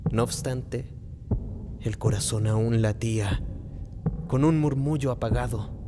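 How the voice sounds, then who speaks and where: A man playing a nervous, obsessive madman confessing a murder in a theatrical, deliberate radio play monologue. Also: medium pitch, noisy background, lightly acted. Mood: smug, cold. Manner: theatrical, deliberate, halting phrasing. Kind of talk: dramatic radio play monologue, gothic horror narration.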